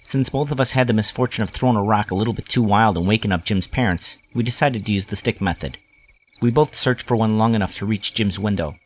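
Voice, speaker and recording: Guy with a lowish voice narrating a nostalgic first person story, scripted. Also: fast talker, a little hiss or hum.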